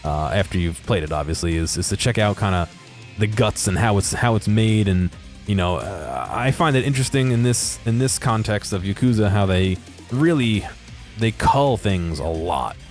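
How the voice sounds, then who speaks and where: Low-voiced man, hesitant game commentary. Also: lively intonation, normal speed, soft background music. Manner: hesitant, rambling, understated. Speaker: video creator commentating on game exploration. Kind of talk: casual unscripted commentary over game footage.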